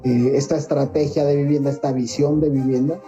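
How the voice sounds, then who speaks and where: A man explains his point in a news report clip, hesitating with fillers. The sound is dull and lo-fi, and loud music plays underneath. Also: medium pitch, flat delivery, fairly quick.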